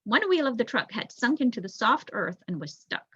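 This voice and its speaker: A muffled recording of a young woman narrating a story. Her voice is bright and fairly high, with a husky edge, and she reads expressively with the odd small stumble. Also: very animated, moderate pace, no room sound. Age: young adult. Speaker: volunteer audiobook reader. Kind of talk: storybook narration read aloud.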